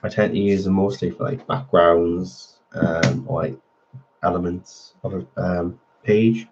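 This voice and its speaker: A man's voice: sits low, steady tone, a little slow, darkish tone, muffled recording, a little hiss or hum. A man hosting a colouring channel, chatting casually with viewers while he colours, a little nasal as he gets over a cold. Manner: chatty, answering viewer comments. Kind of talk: casual live-stream chat while colouring.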